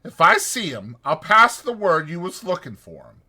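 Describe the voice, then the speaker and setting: Man acting a gruff, high-strung scolding ranch hand. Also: sing-song, normal speed, sharp tone, full character voice. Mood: brusque. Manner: brusque, scolding, rural American dialect. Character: wiry, irritable, protective ranch hand, bossy and gruff.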